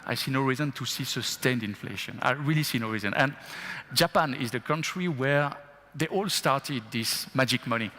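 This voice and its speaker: A man's voice: mid-range voice, normal speed, sharp tone, a little hiss or hum. A man speaking as a bank investment chief on stage at a fireside chat, answering with rhetorical questions and frequent laughs. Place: event stage in a large hall. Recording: long hall reverb.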